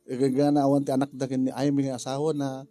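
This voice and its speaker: A man with an Ilocano Filipino accent talking casually through a drama story in a halting, rambling, jokey way. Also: medium pitch, completely flat delivery, dark tone, faint music behind. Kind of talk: casual spoken story briefing.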